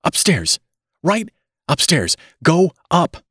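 A man's voice: on the high side, wildly swooping pitch, takes it slowly, a bit bright, breathy edge, no room sound, studio quality, voice pushed up, full character voice. A man voicing a terrified, flustered young female nurse in an audiobook, with a fluttery, hysterical manner. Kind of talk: audiobook character acting.

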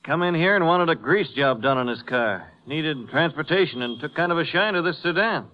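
An actor playing a big, irritated used-car dealer with an American accent, defensive and folksy, in a 1950s radio drama. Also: male voice, on the high side, lively intonation, moderate pace, sharp tone, low-quality recording. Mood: evasive. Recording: vintage 1950s radio broadcast recording.

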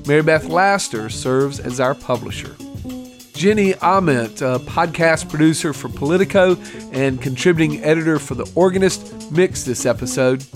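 Male podcast host, slightly high register, scripted credit read, light guitar bed. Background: guitar music.

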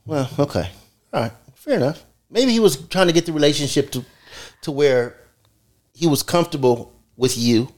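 A man's voice: fairly high pitch, animated, normal speed, pauses often. A man in his sixties, a father joining his daughter's podcast, talking casually and joking, often repeating words. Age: 60s. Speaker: podcast guest, father of the co-host.

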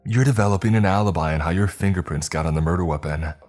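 A man's voice: sits low, expressive, normal speed, breathy edge, soft background music. A man voicing a panicked, angry husband who denies murder and mocks his accuser. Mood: mocking. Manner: defensive, scoffing, shouting.

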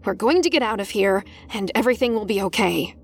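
A woman's voice: mid-range voice, animated, fairly quick, a little hiss or hum, close to an everyday voice. A woman voicing a nervous teenage best friend who talks in a tense, sarcastic, snappy way in a story reading. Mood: firm reassurance.

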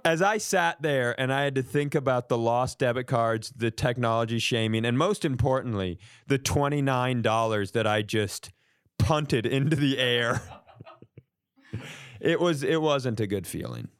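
A man's voice: mid-range voice, unhurried, smooth, dry recording. A man tells a comic personal story in a very dry, close recording. He talks casually as a podcast host, swearing freely, playing up indignation and acting out both sides of exchanges. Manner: mock-indignant, profane, acting out quoted exchanges. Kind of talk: comic anecdote, casual banter.

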